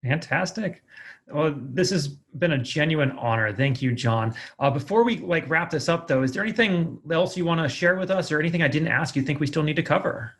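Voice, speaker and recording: A man's voice: a little high, expressive, a little fast, fairly bright tone. A man hosting a podcast interview in an affirming, effusive, conversational way.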